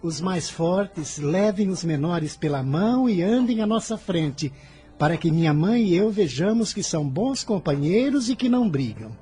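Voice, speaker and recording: A compassionate young son in a radio drama, played by a man. His voice is mellow and moves expressively, with earnest gentleness, over faint music. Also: high-pitched, animated, normal speed. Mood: kindly instructing.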